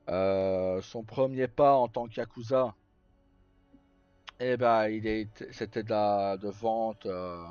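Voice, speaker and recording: Man, lowish voice, casual streamer storytelling, rambling and halting, slightly muffled sound. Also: mellow. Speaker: live streamer. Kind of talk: casual chat recounting roleplay stories. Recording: slightly muffled, roomy, faint music. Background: background music.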